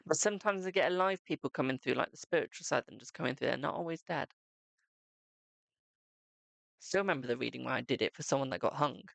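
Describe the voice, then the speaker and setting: A man's voice: medium pitch, very fast, creaky, a bit bright, no echo. A man giving a live-stream psychic tarot reading, chatting to viewers and calling them "my darling".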